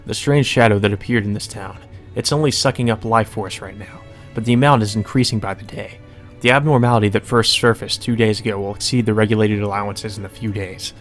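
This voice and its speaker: A man doing a casual let's play, reading story text aloud and adding informal, sweary asides. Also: lowish voice. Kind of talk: casual commentary and read-aloud narration.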